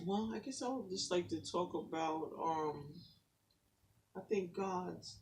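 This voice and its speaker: A woman poet who talks softly and haltingly in a faith-centered chat, then reads her own poem in a rhythmic, declamatory spoken word style. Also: on the low side, husky. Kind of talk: spoken word poetry reading in a casual faith conversation.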